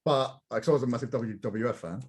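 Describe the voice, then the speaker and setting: A man's voice: medium pitch, fast. A man on a podcast panel chatting casually and enthusiastically, like a keen fan. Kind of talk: casual chat.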